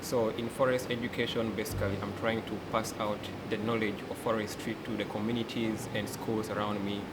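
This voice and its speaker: A young man from Uganda with a Ugandan accent, speaking earnestly as a forest educator and delegate, often pausing with "uh". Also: fairly low pitch, little pitch movement. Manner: earnest, many "uh" pauses. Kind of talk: interview testimony. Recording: loud background hum.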